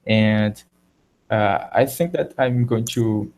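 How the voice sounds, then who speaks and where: A Brazilian man with a Portuguese accent hosting a live video chat in English, halting and searching for words. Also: lowish voice, a little mellow. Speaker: live show host.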